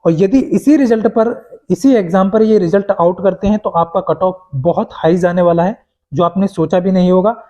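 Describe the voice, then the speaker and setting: A high-voiced man gives an informal news update, addressing viewers directly. His tone is soft-edged and mellow, and he circles back over his points with plenty of English words mixed in. The recording is dull and lo-fi. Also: moderate pace. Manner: repetitive, mixes in many English words. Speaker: host of exam news updates for job candidates. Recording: dull, muffled lo-fi sound.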